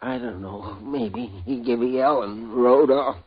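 An actor playing a shaken, wounded frontier townsman with rough country grammar in a 1950s radio drama. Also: mid-range voice, steady tone, unhurried. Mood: bitter. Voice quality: husky, ungrammatical country speech. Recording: dull old radio broadcast.

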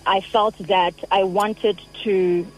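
A woman's voice: mid-range voice, steady tone, soft background music. South African woman on a phone line. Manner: measured, frequent um fillers. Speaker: guest. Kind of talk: phone interview.

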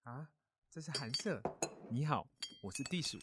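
A man voicing a kindly grown-up mole in a children's audio story, gentle, caring and reassuring. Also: mid-range voice, lively intonation, normal speed, noisy background, close to an everyday voice. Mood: welcoming.

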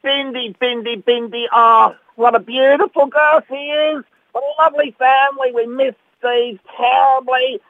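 Super high creaky flamboyant gossip reporter, cooing and excitable, dull lo-fi sound. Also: male voice, moderate pace, no echo. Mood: gushing. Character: gushing, flamboyant, giggly male showbiz gossip reporter. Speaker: entertainment reporter. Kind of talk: comic radio character interview.

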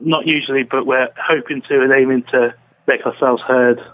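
A man's voice: mid-range voice, steady tone, moderate pace. A British man speaking informally as an interview guest. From United Kingdom. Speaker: interview guest, fan club representative. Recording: dull band-limited remote line.

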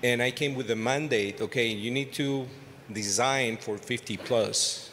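A man's voice: mid-range voice, unhurried, fairly bright tone. Hesitant man. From Costa Rica. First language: Spanish. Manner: hesitant, many fillers and restarts. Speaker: audience member asking a question. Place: conference hall. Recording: reverberant hall, faint noise.

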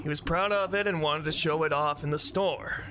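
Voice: medium pitch, moderate pace, a bit bright, lightly acted. An actor playing a weary, unimpressed bait shop clerk in a radio play, reluctant in manner, over noisy ambience. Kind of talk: naturalistic radio play acting.

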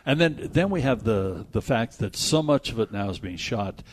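A man's voice: fairly low pitch, expressive, normal speed, slight background noise. A man hosting a movie show, chatting casually and reading out entertainment news with conversational asides. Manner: conversational, reads news items aloud with asides.

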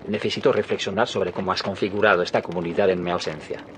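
A man's voice: low-pitched, fairly even intonation, quick pace, natural voice. An actor playing a weary, questioning male scientist back from a long absence, earnest and disbelieving, in an audio drama. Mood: weary, troubled. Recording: reverberant cave with noisy ambience.

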